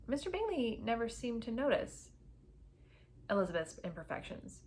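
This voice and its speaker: A woman narrating a novel in an expressive, dramatic storybook read-aloud style with emphatic phrasing. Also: fairly high pitch.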